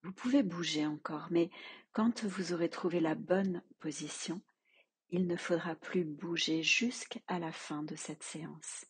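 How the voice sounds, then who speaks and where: A woman teaching yoga nidra, leading a guided relaxation in a soft, calm, measured way with long pauses. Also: lowish voice, husky.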